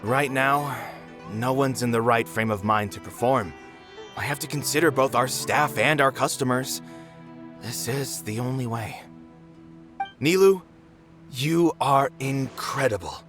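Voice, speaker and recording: A man voicing an earnest, dutiful theater manager in a video game, speaking in a measured, formal way. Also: medium pitch, over music, close to an everyday voice. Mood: grave, resigned, then admiring, solemn. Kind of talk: dramatic game dialogue.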